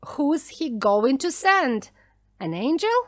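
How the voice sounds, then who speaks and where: A woman's voice: high voice, sing-song, takes it slowly, no echo, studio quality, voice pushed up, heavily acted. Woman putting on an angry, despairing shipwrecked man. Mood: angry scorn. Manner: shouting, scornful, despairing. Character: exhausted, frightened, bitter angry man shipwrecked in storm.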